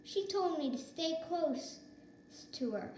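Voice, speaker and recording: Woman as a high-voiced, helpless baby elephant. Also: expressive, slow pace, darkish tone, echoey room, a little hiss or hum, heavily acted. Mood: distressed, sorry.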